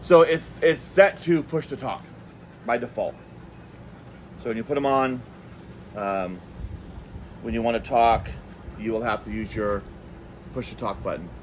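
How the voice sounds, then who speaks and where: A man giving a casual hands-on product review, talking in a halting way and repeating words as he goes. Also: mid-range voice, slight background noise.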